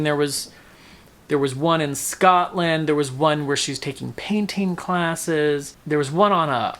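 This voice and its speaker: A man's voice: fairly high pitch, a bit bright, a little hiss or hum. A man talking casually as a book-review vlogger, with a wry, playful, emphatic delivery that draws out words. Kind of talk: casual, chatty book wrap-up.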